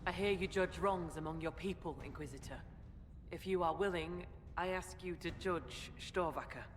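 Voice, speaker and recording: A woman's voice: on the low side, background noise, lightly acted. Woman playing a solemn clan leader, heavy reverb. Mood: grave. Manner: formal, measured, ceremonial. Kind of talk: video game dialogue acting. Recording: long hall reverb, music behind.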